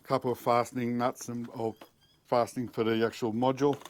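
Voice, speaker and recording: A man's voice: mid-range voice, flat delivery, brisk. A middle-aged man with an Australian accent, giving a casual, matter-of-fact DIY walkthrough as a vlog host. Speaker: host of a DIY four-wheel-drive channel. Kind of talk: casual unboxing and installation walkthrough. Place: outdoors in a backyard, later inside a car cabin.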